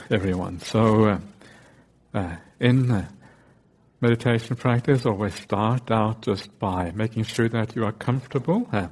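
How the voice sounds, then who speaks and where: A man with a fairly low voice leads a guided meditation. He takes his time, giving simple instructions in short phrases and often hesitating with an "uh" before going on. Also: darkish tone. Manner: unhurried, frequent hesitant "uh" between short phrases. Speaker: meditation teacher.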